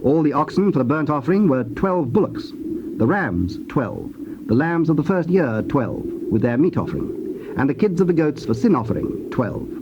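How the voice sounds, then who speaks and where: A man with a British accent reads scripture as a formal narrator, measured and precise. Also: on the high side, very animated. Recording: dull, noisy old cassette tape.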